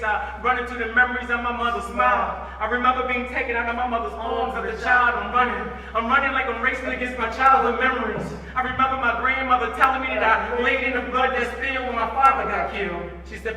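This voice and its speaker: High-voiced man reciting poetry in echoey hall. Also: bright, forceful tone. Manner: impassioned, rhythmic delivery with repeated refrains. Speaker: spoken word poet performing at a concert. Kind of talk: dramatic spoken word poetry recital. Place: large reverberant indoor performance space. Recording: echoey concert hall, far from mic.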